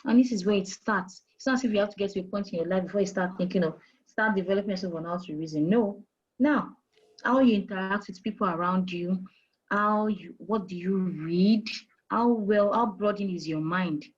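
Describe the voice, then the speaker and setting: A woman's voice: mid-range voice, a little fast, slightly rough, highs cut off. A woman with a Nigerian accent, an HR manager giving career advice in an interview, who talks emphatically, repeats her key points and asks rhetorical questions. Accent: Nigerian English. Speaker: HR manager guest.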